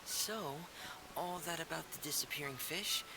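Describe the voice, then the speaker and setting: Casting: reserved, considerate teenage boy inventor, anime game; breathy, hesitant, apologetic, with some fry. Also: on the high side, normal speed, music in the background, low-quality recording. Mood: thoughtful. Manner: soft-spoken, hesitant, apologetic. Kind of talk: anime fantasy game voice acting. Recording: clear background noise and hiss.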